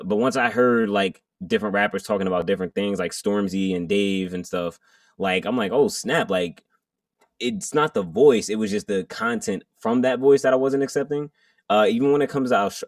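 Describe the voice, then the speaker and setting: A man with a very low voice chats casually as a rapper being interviewed. He rambles, full of fillers and restarts. The sound is dry and he sits a little back from the mic. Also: dry recording, distant mic. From Jamaican heritage. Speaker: guest rapper.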